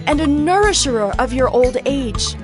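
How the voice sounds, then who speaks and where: High-voiced joyful biblical village woman. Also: expressive, measured pace, over music. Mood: joyful, blessing. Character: joyful Israelite village woman giving praise. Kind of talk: dramatized scripture acting.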